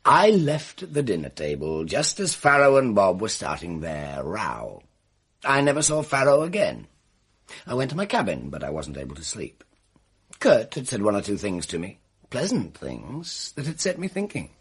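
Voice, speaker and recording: A man's voice: lowish voice, huge pitch swings, moderate pace, slightly rough, heavily acted. An actor playing an evasive, theatrical male murder witness in a radio drama, with an arch, hesitant manner. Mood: guarded, reluctant.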